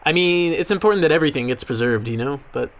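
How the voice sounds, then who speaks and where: An actor playing a mild, awkward young archivist in a naturalistic audio drama, speaking in a halting, reserved, deferential way. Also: male voice, fairly high pitch, animated, a little hiss or hum. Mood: casual, reserved. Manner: hesitant, soft-spoken, halting.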